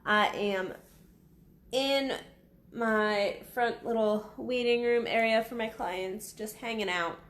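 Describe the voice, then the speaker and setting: A woman in her thirties with a fairly high voice that sounds raspy from being unwell. She talks frankly and casually, swears freely, and comes across as blunt. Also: slow pace, fairly bright tone, roomy sound. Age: 30s. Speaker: sex coach. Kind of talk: casual talk to camera. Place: small waiting room at her office.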